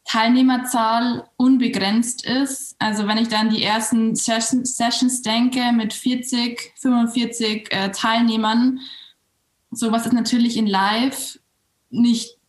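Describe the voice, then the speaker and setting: A woman's voice: fairly high pitch, flat delivery, measured pace. A woman yoga teacher talking in a casual interview. She hesitates, often restarts her sentences and uses fillers. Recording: roomy echo.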